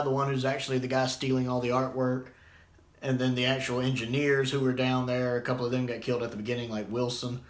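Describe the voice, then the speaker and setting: An echoey room surrounds a man's mid-pitched voice as he talks through a review off the cuff. He rambles conversationally, like a reviewer sharing opinions without a script. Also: fairly bright tone. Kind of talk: casual unscripted review.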